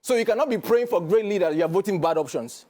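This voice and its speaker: A man's voice: high-pitched, lively intonation, fast talker, warm tone. A man with a Nigerian accent, a guest on a studio interview, speaking in an emphatic, lecturing way and often checking that he is understood. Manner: emphatic, lecturing, keeps asking "do you understand?". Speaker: guest commentator.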